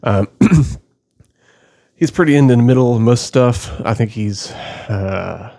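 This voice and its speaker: A man's voice: medium pitch, expressive, slow, creaky, dark tone. A man giving casual, off-the-cuff reaction commentary in a loose, tentative way as a video host.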